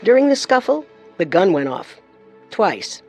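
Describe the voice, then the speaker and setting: A woman co-hosting and narrating a scripted true-crime show with measured, dramatic storytelling. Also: medium pitch, very animated, a little mellow, soft background music. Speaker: podcast co-host and narrator.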